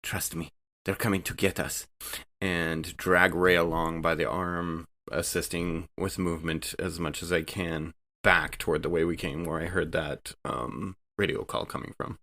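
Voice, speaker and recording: Man, deep voice, tabletop game player, casual improvised roleplay. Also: level tone, a little slow, bright, forceful tone.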